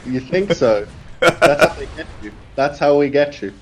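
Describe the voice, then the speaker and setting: A man's voice: a little high, animated, normal speed. A man from Finland with a Finnish accent, a game developer chatting casually and jokingly while playing. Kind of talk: casual gaming chat.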